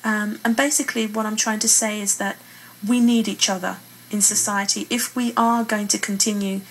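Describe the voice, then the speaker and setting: A British woman speaks as an interviewed writer, earnest and measured in her delivery. Her voice sits at an average female pitch, with noticeable ambient noise around it. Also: fairly even intonation. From Britain. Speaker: playwright and poet being interviewed. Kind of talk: earnest interview talk with read-aloud passages. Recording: clearly noisy room ambience.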